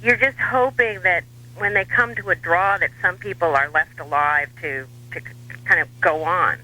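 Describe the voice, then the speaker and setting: An American man giving political commentary as a radio guest, wry and dryly humorous, with measured hesitations. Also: high voice, sharp tone, low-quality recording. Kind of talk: talk radio interview.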